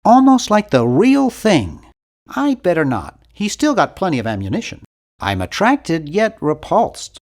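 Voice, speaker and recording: A man's voice: high-pitched, huge pitch swings, unhurried, full character voice. A man voicing a nerdy, timid, fussy young hero of a cartoon adventure game, with a prim, wry, bookish delivery. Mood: squeamish, dry. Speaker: game character voice actor.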